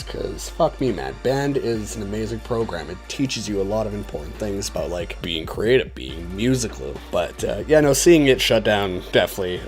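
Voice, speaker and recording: A young man telling personal stories in a casual, rambling, laid-back way, swearing freely. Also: on the low side. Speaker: storyteller on his own video channel. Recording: voice over background music. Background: music.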